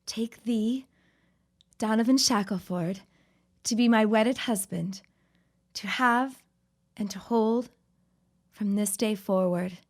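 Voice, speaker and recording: A woman's voice: mid-range voice, takes it slowly, pauses often. A woman playing a solemn bride in a period audio drama. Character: solemn bride reciting wedding vows.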